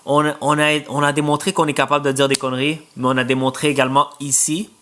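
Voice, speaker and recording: A man's voice: mid-range voice, level tone, pushed and bright. A man with a mid-range voice speaks earnestly and gratefully as he closes out a podcast. He restarts his phrases often as he searches for words. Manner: earnest, thankful, halting restarts. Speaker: podcast co-host. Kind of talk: podcast sign-off.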